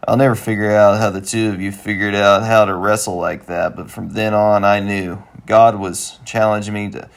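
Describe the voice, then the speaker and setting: A man voicing a blunt, sentimental middle-aged father in an animated comedy-drama, talking in a folksy, rambling way. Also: on the low side, moderate pace, vocal fry, few pauses. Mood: nostalgic.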